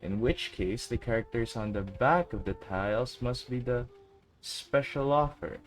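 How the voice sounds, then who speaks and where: A woman's voice: a bass voice, lively intonation, unhurried. A woman game streamer reacting casually and reading aloud as she plays. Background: faint game music.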